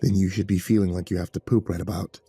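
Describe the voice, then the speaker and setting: A man voicing a grizzled older man who speaks deadpan and matter-of-fact. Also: deep voice, fast talker, breathy edge, heavily acted.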